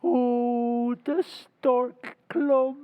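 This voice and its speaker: A man acting a dim-witted, cheerful barfly who talks slowly and dopily with chuckles, in an old-time radio comedy recreation. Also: very high voice, phone-quality sound, full character voice. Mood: dopey. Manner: slow, dopey with chuckles.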